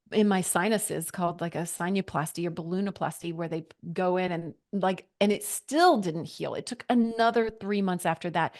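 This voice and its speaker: A woman in her forties, a podcast guest, telling her personal story in an earnest, reflective way and acting out her own past thoughts. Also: medium pitch. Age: 40s. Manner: earnest, reflective, with lively quoted inner thoughts.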